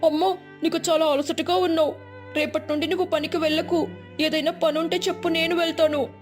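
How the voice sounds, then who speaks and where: A woman's voice: extremely high pitch, level tone, brisk, soft background music, voice pushed up. A woman voicing a small, innocent village boy, caring and anxious, in a moral storybook tale. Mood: caring, reassuring.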